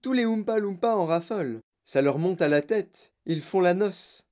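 A woman voicing an excitable, bossy, eccentric male candy maker in a storybook, speaking in emphatic exclamations. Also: on the low side, normal speed, pitched above the performer's own voice, lightly acted. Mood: triumphant, excited.

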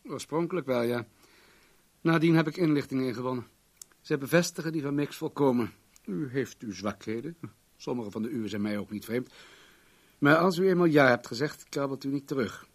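A man's voice: mid-range voice, lively intonation, brisk. Radio play role: wealthy businessman, guarded, commanding, formal delivery, mid-range voice. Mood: confident. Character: wealthy, controlling businessman, guarded and self-assured.

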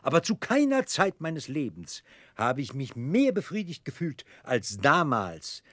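An actor playing a pompous, self-important middle-aged gentleman debtor in a German radio play, with grandiloquent phrases broken by hesitations. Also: fairly high pitch, huge pitch swings, unhurried, dry recording, clean studio sound, full character voice. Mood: grandiose.

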